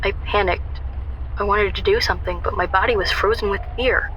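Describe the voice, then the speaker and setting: A woman's voice: medium pitch, fairly even intonation, brisk, a bit bright, slight background noise, natural voice, low-quality recording. A woman playing an anxious, guarded young shop worker, narrating a radio drama in a wry, confessional way. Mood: wry, uneasy narration.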